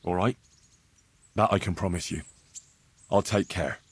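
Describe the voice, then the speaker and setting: An actor playing an easygoing, loyal young blacksmith's son in a medieval video game, casual, wry and sincere. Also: male voice, low voice, steady tone, measured pace, breathy edge, no room sound, heavily acted. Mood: sincere, reassuring. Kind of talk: naturalistic video game dialogue acting.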